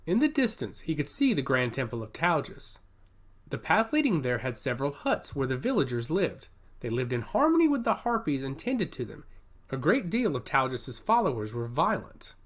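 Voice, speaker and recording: A man's voice: medium pitch, very animated, moderate pace. A man narrating a scripted fantasy story as an audiobook.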